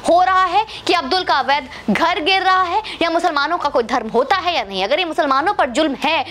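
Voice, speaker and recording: A woman with a clearly high voice speaks as a political commentator. She argues combatively, addressing her opponents directly with sarcasm and pointed rhetorical questions. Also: lively intonation, a little fast, a bit bright, a little hiss or hum. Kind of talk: opinion monologue to camera.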